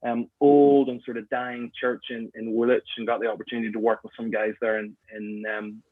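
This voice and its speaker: A man's voice: medium pitch, flat delivery, fairly quick, no room sound. A young man from Northern Ireland with a Belfast accent, reflecting on his life as an interview guest with many fillers and restarts. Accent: Northern Irish, Belfast. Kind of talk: casual online interview. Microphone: video call. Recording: tinny, narrow-band call audio.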